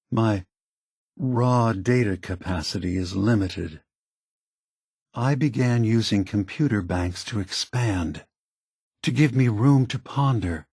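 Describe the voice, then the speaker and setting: An actor playing a calm, burdened male android leader in a video game, speaking in a measured, deliberate, formal way. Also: fairly low pitch, husky. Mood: earnest, burdened. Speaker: game character guiding the player. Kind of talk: scripted video game voice acting.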